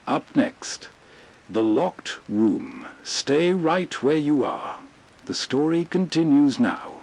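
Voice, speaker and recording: A man narrates as a late-night host of old radio tales. His delivery is very unhurried and intimate, with a lively rise and fall. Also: a little high.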